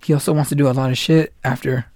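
A man's voice: medium pitch, fairly even intonation, fast talker, darkish tone, no echo, clean studio sound. A man in his late twenties hosting a casual podcast chat, rambling along with lots of "like" and retold quotes. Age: 20s. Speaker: podcast host.

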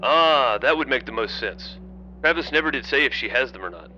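Bright, fast, eager actor as an inquisitive male partner. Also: medium pitch, lively intonation, dull audio, slight background noise. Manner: prodding, questioning, impatient. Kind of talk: audio drama acting.

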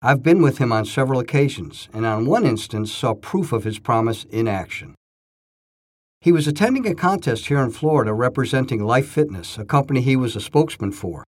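A man narrating a scripted inspirational story from his own memories. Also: mid-range voice.

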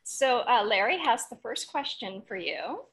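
A woman's voice: fairly high pitch, big pitch swings, a little slow, a bit bright. A woman hosting an interview in a conversational style. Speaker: host. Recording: noticeable background noise.

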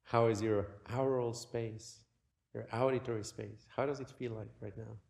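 A man leading a guided meditation in a gentle, unhurried way, leaving long pauses between short phrases. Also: medium pitch, fairly even intonation, vocal fry. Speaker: meditation teacher.

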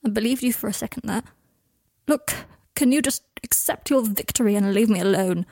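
A woman's voice: medium pitch, brisk, creaky, warm tone, no room sound. A woman voicing a heartbroken, proud young man who is hurt and bitter, his voice rising and close to tears. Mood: defeated.

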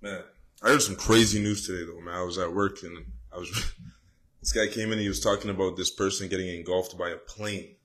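A man's voice: deep voice, steady tone, a little fast, fairly bright tone. A young man telling stories in a casual podcast chat, repeating himself and laughing often. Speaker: podcast co-host.

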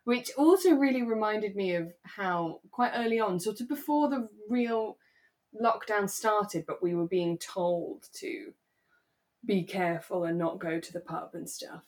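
A young British woman co-hosting a podcast in relaxed casual discussion, thinking aloud and qualifying what she says as she goes. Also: medium pitch, unhurried. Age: young adult. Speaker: podcast co-host.

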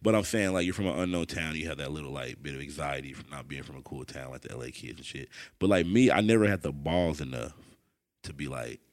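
A young man with a very low voice talks fast in loose, rambling podcast chat, piling on asides as he tells his stories. The sound is close and dry, with no room around him. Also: no room sound. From Ontario, California. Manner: rambling, animated storytelling with quick asides. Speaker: podcast host. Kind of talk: casual chat.

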